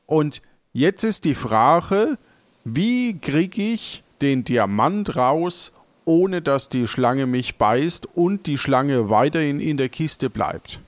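Halting, slow-paced man improvising an adventure. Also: on the high side, animated, dry recording. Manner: halting, searching for words. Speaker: game master and player. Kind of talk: improvised audio adventure narration, casual.

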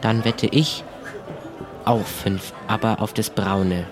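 A man's voice: on the low side, fairly even intonation, slow pace, music in the background, a crowd in the background. A man speaking as a player in an improvised audio adventure game. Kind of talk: improvised role-play.

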